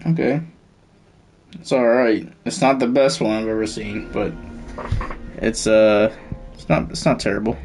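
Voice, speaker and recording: A man's voice: fairly low pitch, steady tone, unhurried, pauses often, darkish tone. A man giving casual live gameplay commentary, thinking aloud in an informal way. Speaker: game streamer. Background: faint game music.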